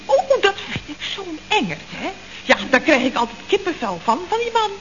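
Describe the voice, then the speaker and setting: Brief: flustered gossipy secretary, lively and high, vintage radio comedy. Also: female voice, normal speed, exaggerated voice. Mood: chatty flustered. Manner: chatty, giggly, breathless gossiping. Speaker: office secretary at a building firm. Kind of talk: 1960s radio comedy acting. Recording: dull old radio recording, faint hiss.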